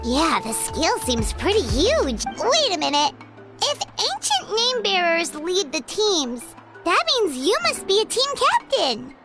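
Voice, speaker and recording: A woman voicing a tiny, childlike, eager fairy companion in a video game, with a squeaky, chirpy delivery. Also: sing-song, a little slow, heavily acted. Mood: curious. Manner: squeaky, childlike, speaks of self in third person. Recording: loud music under the voice.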